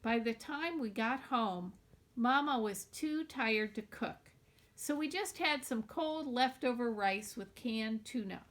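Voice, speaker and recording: A woman reading a children's novel aloud in a deliberate, expressive storytelling manner, like a teacher with a class book. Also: on the high side.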